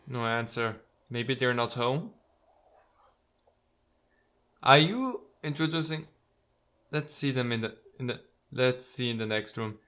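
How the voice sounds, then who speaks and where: Bright-voiced man doing game commentary. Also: medium pitch, animated, normal speed, clean tone. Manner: nitpicking, exclamatory asides between read lines. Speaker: video game streamer. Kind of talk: let's play commentary, reading game dialogue aloud.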